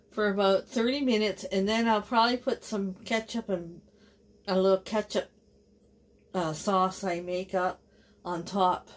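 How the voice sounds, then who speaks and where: A middle-aged woman with a Canadian accent, chatting to viewers in a relaxed, rambling way while cooking in her home kitchen. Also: medium pitch. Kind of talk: chatty kitchen vlog narration. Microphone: camera on counter.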